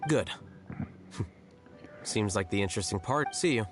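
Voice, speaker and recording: Aloof scholar with lively pitch. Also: male voice, measured pace, breathy edge, soft background music. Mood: dry. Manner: condescending, detached, formal. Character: aloof, arrogant, coolly rational young male scholar. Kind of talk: scripted game voice acting.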